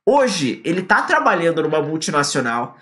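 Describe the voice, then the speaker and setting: Young guy from brazil teaching english on webcam, super animated, pitch jumping all over, small room. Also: normal speed. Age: young adult. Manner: lively and energetic, quoting a student in acted lines. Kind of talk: online English lesson in Portuguese. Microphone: webcam at close range.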